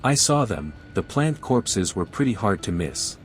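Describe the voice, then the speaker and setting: A man playing a calm, plainspoken adventurer and party leader in a video game. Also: fairly low pitch, measured pace, soft background music. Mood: matter-of-fact. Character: calm, level-headed male adventurer leading a party.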